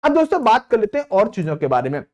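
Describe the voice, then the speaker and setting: A man's voice: high-pitched, huge pitch swings, fast talker, darkish tone. A man teaching exam candidates in an explanatory, repetitive way, often asking questions and answering them himself. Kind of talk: explanatory lesson addressed to students.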